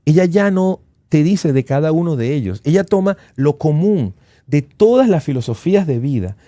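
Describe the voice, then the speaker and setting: A man's voice: a little high, animated, normal speed, mellow, dry recording, clean studio sound. A man in his forties hosting a casual podcast interview, talking in an effusive, enthusiastic way. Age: 40s. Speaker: podcast host.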